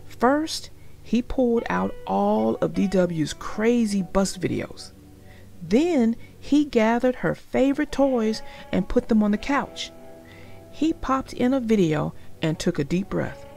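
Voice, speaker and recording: A woman reads a children's story aloud with lively, wide intonation. Her pace is slow and her voice has noticeable creak, with music playing behind the narration. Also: mid-range voice. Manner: unhurried, storytelling delivery. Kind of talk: expressive scripted read-aloud of a storybook.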